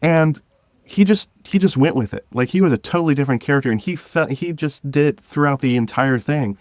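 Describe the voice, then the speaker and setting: A man speaking as an interview guest, telling stories about directing in a casual, halting way with some chuckles. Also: on the high side, darkish tone, dry recording, studio quality. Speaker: guest, director of an audio drama podcast.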